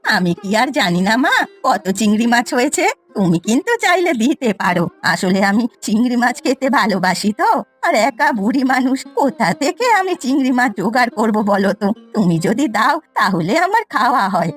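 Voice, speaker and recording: A stingy old village granny from a cartoon, acted by a woman. She is sharp-tongued and drags out her words, with lively swings in pitch over background music. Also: a little fast. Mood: wheedling. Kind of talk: Bengali village cartoon voice acting.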